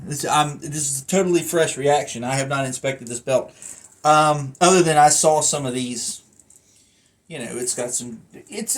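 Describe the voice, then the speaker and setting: A man talks through an item from his collection in a casual, unscripted way. He thinks aloud as he goes, rambling into side remarks. His voice sits at an ordinary middle pitch for a man. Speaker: collector reviewing a wrestling belt. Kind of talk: casual unscripted product review.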